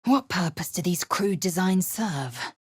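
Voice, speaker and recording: An actress playing a proud, ruthless alien warrior woman in a video game, speaking with clipped, commanding disdain and a husky voice. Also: lowish voice, animated, unhurried, no echo, clean studio sound, exaggerated voice. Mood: disdainful, threatening. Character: stern, imperious female alien githyanki warrior, proud and ruthless. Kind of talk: scripted video game character lines.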